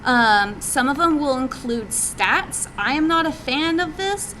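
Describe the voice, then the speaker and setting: A woman's voice: high-pitched, expressive, unhurried, pushed and bright. A woman giving an informal slide presentation in a chatty, hesitant, slightly self-conscious way. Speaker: presenter from a game development studio. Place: indoor meeting room. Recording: noisy live meetup recording, background hum.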